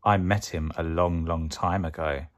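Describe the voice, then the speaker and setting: A big, solemn monster father from a children's book, read by a man. The low voice is grave and ominous as it gives warnings. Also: fairly even intonation, unhurried.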